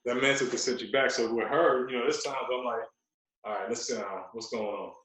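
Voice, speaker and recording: A man's voice: medium pitch, steady tone, brisk, fairly bright tone. Heavy room echo surrounds a man with a mid-range voice. He chats casually as a podcast guest, repeating phrases for emphasis. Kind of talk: casual chat. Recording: very echoey, roomy sound.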